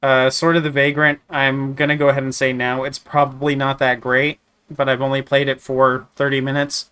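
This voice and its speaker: Dude streaming late, throat a bit raspy, rambles and restarts a lot, crisp tone. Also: male voice, mid-range voice, little pitch movement, moderate pace, a bit bright. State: slightly raspy from a sore throat. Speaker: gaming streamer. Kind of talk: casual game review chat.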